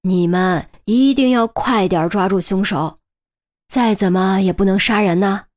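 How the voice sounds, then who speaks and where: A woman's voice: medium pitch, measured pace, a little mellow, slight background noise, lightly acted. A woman voicing a chatty, nosy, thrifty old neighbour lady in an audiobook, with a folksy, fussing way of talking. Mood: insistent, righteous.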